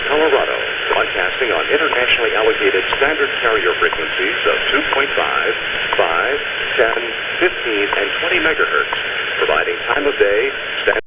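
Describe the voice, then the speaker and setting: A man's voice: on the low side, phone-quality sound. Noisy shortwave radio male announcer. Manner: measured, formal. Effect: shortwave radio transmission. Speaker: time signal radio station announcer. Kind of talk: formal recorded station announcement.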